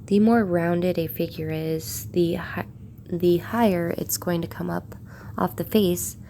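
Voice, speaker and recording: A woman with a croaky voice from a cold, narrating a drawing tutorial in an unhurried way while she shades. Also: fairly low pitch, steady tone, warm tone, slight background noise. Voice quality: gravelly. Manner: unhurried, talks while shading. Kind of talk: relaxed drawing tutorial voice-over.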